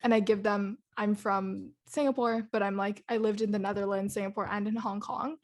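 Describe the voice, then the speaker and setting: A woman's voice: medium pitch, fairly even intonation, normal speed. A young woman co-hosting a podcast, talking in a hesitant, casual way with many fillers. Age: young adult. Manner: hesitant, lots of "like" and "I don't know". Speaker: podcast co-host. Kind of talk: casual chat.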